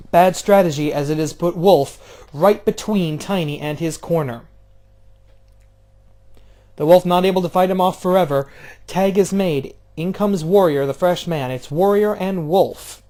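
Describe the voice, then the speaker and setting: A man doing casual play-by-play of a video game wrestling match, jumping between loud outbursts and low, offhand, swearing asides. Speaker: play-by-play commentator.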